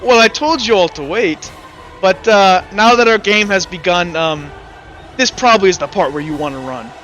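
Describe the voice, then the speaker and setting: A man plays a taunting, menacing villain in a tabletop game. The voice is high and bright, and he speaks deliberately to those he threatens. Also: soft background music, poor audio. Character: taunting, menacing man confronting someone he meant to kill.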